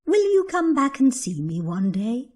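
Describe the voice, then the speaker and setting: Woman as a slow, husky, kindly old woman. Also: on the high side, big pitch swings, darkish tone. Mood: welcoming. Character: small, kindly old woman with magical powers. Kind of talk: children's fairy tale dramatisation.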